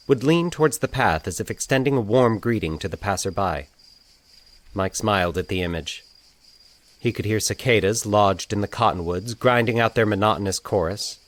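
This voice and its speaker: A man reading scripted fiction as the narrator of a story. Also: fairly low pitch.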